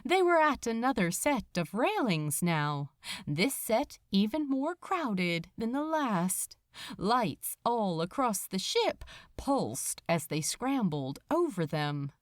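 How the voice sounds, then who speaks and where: A woman narrating fiction from a script in an expressive, dramatic storytelling manner. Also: fairly high pitch, slow pace, no echo, studio quality. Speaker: podfic narrator.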